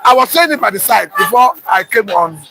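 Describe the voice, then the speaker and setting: A Nigerian man with a Nigerian accent, forcefully arguing politics in a street debate. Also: high voice, expressive, fairly quick, creaky, a little hiss or hum. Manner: forceful, insistent.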